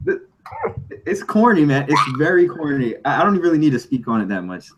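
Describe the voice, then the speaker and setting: A man's voice: a little high, big pitch swings, a little fast, a crowd in the background. A man co-hosting a podcast in casual, colloquial banter, often repeating phrases for emphasis. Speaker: podcast co-host.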